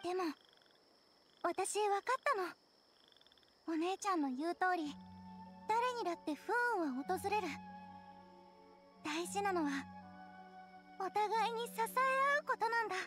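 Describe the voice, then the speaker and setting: A gentle little anime game girl. The pitch is extremely high and the delivery soft and hesitant. She sounds earnest and fragile, over faint music. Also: animated, measured pace, long pauses. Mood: earnest, grateful.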